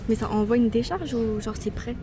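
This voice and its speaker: A young woman talks softly and very quickly in a slightly high voice. She sounds informal and slangy, hosting a casual quiet chat. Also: crowd noise. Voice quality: soft, low-volume voice. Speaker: host of an ASMR channel. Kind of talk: soft-spoken casual chat.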